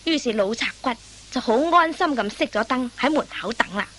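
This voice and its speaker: A woman's voice: a little high, animated, brisk, fairly bright tone. A woman narrating a scripted radio comedy drama as a storyteller.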